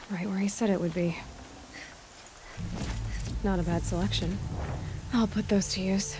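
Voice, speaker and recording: A woman's voice: mid-range voice, fairly even intonation, unhurried, background noise, close to an everyday voice. A woman playing a determined, wary young hunter in a video game, dryly thinking aloud to herself. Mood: pensive, questioning. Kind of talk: video game cutscene acting.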